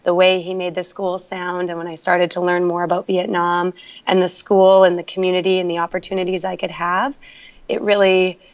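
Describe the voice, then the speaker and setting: Guest: woman teacher, lowish voice, earnest storyteller, slightly muffled remote line. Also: flat delivery, normal speed. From Canada. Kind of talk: conversational interview. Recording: dull lo-fi remote audio.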